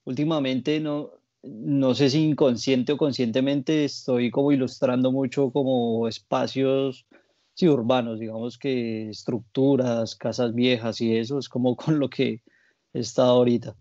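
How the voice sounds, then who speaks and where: A man with a Colombian accent answering as a guest in a casual interview, reflective and softening what he says. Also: medium pitch, steady tone, a little slow, no echo, highs cut off. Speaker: interview guest, graphic designer and muralist.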